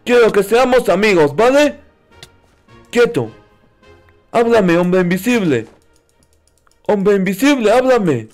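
A man with a very high voice narrates his video game as playful roleplay. He sounds childlike and naive, exclaiming often, with music playing behind him. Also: big pitch swings, slow, pauses often. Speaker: gaming video host.

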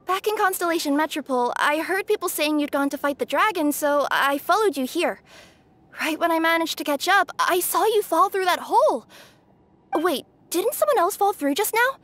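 A woman's voice: very high voice, expressive, moderate pace, fairly bright tone. A woman voicing an earnest, kindly young female adventurer in an anime game dub. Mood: concerned.

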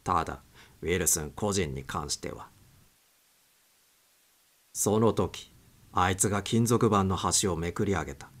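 A man's voice: sits low, a little slow, pauses often, fairly bright tone, slight background noise. A man narrating a suspense story as a dramatic audiobook reading.

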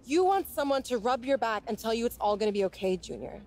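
A woman's voice: on the high side, lively intonation, fast, a little mellow. A young woman playing a blunt, independent athlete girlfriend in a video game, with a direct, unsentimental delivery. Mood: defensive, sardonic. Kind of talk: naturalistic video game cutscene acting.

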